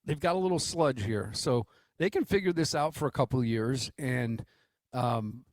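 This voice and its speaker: A man with an American accent and medium pitch co-hosts a sports talk show. His delivery is casual, conversational and reassuring. The sound is dry and close. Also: expressive, normal speed, no room sound. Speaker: radio co-host.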